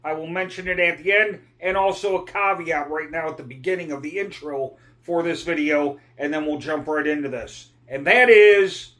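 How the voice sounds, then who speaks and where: A middle-aged man giving a guitar lesson in a rambling, digressive way, often clearing his throat. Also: a little high, fairly bright tone. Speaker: guitar teacher. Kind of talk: casual guitar lesson talk.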